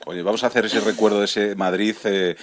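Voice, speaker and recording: A man's voice: fairly low pitch, fairly even intonation, fairly quick, a little hiss or hum. A man in his forties with a Spain Spanish accent, hosting a radio interview and asking chatty, leading questions. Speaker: radio host.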